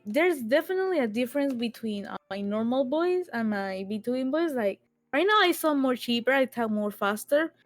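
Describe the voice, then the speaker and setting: A young woman, Spanish a first language, chatting as a guest, soft and slightly halting, laughing along. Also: a little high, dry recording. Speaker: guest streamer. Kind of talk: casual chat.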